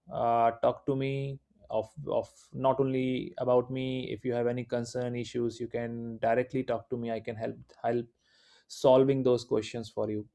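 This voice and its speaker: A man's voice: mid-range voice, warm tone. A man with an Indian accent, native Hindi speaker, giving a live class in an informal, encouraging way with many fillers. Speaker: instructor. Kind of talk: live online class lecture.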